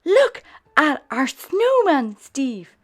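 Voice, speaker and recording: A woman's voice: high voice, big pitch swings, very slow, pitched above the performer's own voice, full character voice. A woman voicing an eager, cheerful young male puppy from a children's storybook. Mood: friendly. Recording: music behind the voice.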